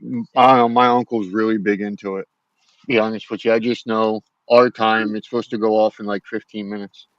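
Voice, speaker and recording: A man's voice: fairly low pitch, flat delivery, fairly quick, no room sound. A man from Florida chatting on a voice call in a laid-back, hesitant way. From Florida, USA. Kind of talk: casual voice chat. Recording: dull, dry voice-call audio.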